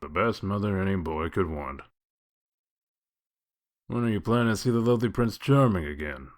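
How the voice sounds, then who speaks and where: A gruff comic-book detective acted by a man with a low voice. He talks in a dry, wry, evasive way, sardonic and unhurried to explain himself. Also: expressive. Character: gruff, sardonic male detective of exiled fables. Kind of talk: comic dub character acting.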